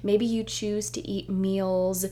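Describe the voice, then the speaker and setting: A woman hosting her own podcast as a dietitian, a little hoarse and close to losing her voice, talking in a chatty, upbeat and reassuring way. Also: medium pitch, level tone, slow, mellow. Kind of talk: solo podcast intro monologue.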